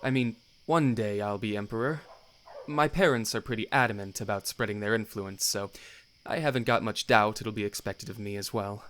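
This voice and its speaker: A man's voice: fairly low pitch, moderate pace, fairly bright tone, slight background noise, natural voice. A man playing a young crown prince in an audio drama, wry and bantering, playful and ambitious. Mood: pensive ambition. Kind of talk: audio drama character acting.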